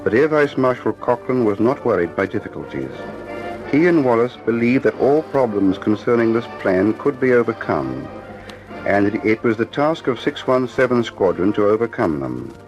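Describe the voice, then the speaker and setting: Man narrator, lowish lively voice, muffled old radio sound, music underneath. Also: moderate pace. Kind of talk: scripted narration.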